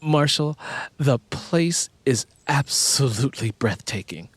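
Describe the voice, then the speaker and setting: Man voicing an eager, persuasive wife, animated delivery. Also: mid-range voice, slow, slightly rough, no echo. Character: adult wife, eager, persuasive, house-proud real estate agent. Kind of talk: audiobook character dialogue.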